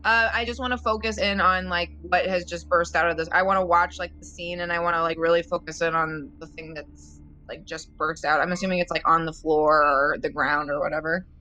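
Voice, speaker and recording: A woman playing a tabletop role-playing game, chatting casually in halting, hesitant phrases sprinkled with "like". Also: fairly low pitch, steady tone, pushed and bright. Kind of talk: casual chat during a game.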